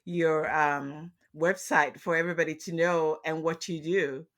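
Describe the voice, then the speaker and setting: High-voiced, upbeat male podcast host. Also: little pitch movement, measured pace, fairly bright tone. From Nigeria. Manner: chatty and upbeat. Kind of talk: casual interview.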